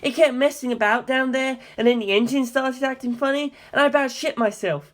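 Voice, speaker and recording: A reader voicing an excitable young male pilot in an audiobook, loud, agitated and blurting his words out. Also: high-pitched, a little fast, voice pushed up, natural voice. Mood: rattled, excited. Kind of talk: acted dialogue in an audiobook.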